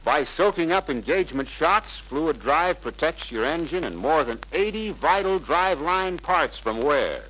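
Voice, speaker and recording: Eager young salesman, bright and animated. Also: a little high, unhurried, hardly any pauses. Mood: persuasive. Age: young adult. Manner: deferential, persuasive, earnest. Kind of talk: 1950s sales pitch acting. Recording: noisy 1950s film soundtrack.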